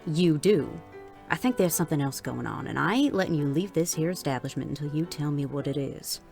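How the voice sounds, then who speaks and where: A hard-nosed frontier barwoman, low-pitched and blunt, with an American drawl. She is fiercely loyal under the toughness, in a Western radio play with music clearly behind her. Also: animated, fairly quick, a little mellow. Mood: insistent. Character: tough, blunt, fiercely loyal female Wild West saloon keeper. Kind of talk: Western audio drama acting.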